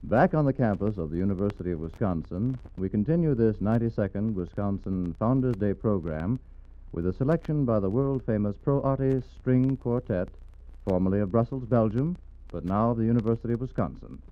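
A man announcing formally and in measured phrases in 1940s radio style, on an old broadcast recording. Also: fairly low pitch, lively intonation, lo-fi sound.

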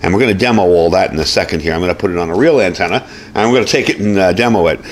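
A man's voice: sits low, racing, slight background noise. A man giving a casual, folksy product review and demonstration, explaining things informally as he goes. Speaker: host, gear reviewer. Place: indoors at a desk or workbench.

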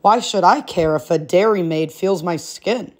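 A woman's voice: lowish voice, moderate pace, lightly acted. A woman voicing a sly, teasing male frog in a storybook, with a goading, pert manner.

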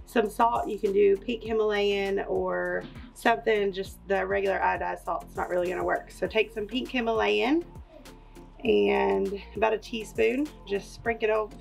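A woman's voice: medium pitch, steady tone, normal speed, gravelly, soft background music. A young woman with a Kentucky accent, chatting upbeat as she explains a kitchen recipe step by step at home. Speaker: homestead vlogger. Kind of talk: casual kitchen how-to. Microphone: camera on the counter.

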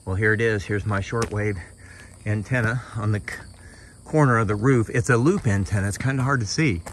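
Low-voiced American man, insects behind him. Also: fairly quick. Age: middle-aged. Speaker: hobbyist presenter. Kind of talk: casual unscripted show-and-tell. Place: outdoors in a yard and on a roof. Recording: outdoor recording with insect noise.

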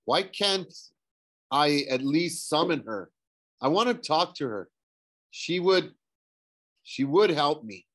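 A man's voice: on the low side, a little slow, fairly bright tone. A man reading an audiobook, voicing a grim, troubled boy of about eleven in a raised, urgent, bitter tone. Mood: bitter, desperate. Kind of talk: audiobook character voice.

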